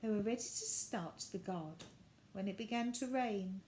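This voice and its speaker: A woman reading a children's story aloud, with deliberate, expressive narration. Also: mid-range voice, slight background noise.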